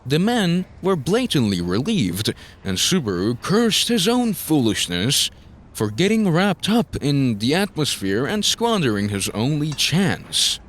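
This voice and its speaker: A man's voice: fairly high pitch, very animated, measured pace. A man narrating a scripted story in a dramatic style, as the narrator of an audio drama.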